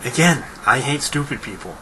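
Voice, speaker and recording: A man's voice: medium pitch, expressive, moderate pace. A young man chatting casually in a blunt, matter-of-fact way. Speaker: participant in a phone chat. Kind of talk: casual chat. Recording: noisy phone-call recording.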